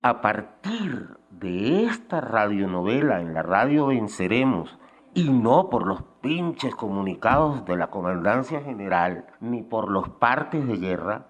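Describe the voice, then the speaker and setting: An older man telling anecdotes casually in an interview, with salty, colloquial language. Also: lowish voice. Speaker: former guerrilla radio member giving testimony.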